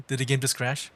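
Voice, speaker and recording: A man's voice: medium pitch, fairly bright tone. Chatty, rambling man livestreaming a game. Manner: rambling, repeats himself, chatty. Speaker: livestreaming VTuber gamer. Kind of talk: casual game livestream commentary.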